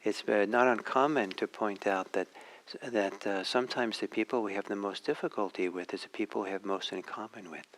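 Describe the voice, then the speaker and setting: Deep voiced guy, norwegian native speaker, giving a reflective meditation talk, halting, lots of searching pauses. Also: fairly even intonation, fairly bright tone, poor audio. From Norway. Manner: hesitant, searching for words, pausing often. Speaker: meditation teacher.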